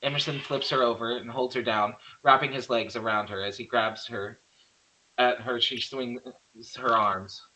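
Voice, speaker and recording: A man's voice: mid-range voice, steady tone, moderate pace, sharp tone, low-quality recording. A man narrating stage directions in an amateur screenplay table read, sometimes stumbling and correcting himself.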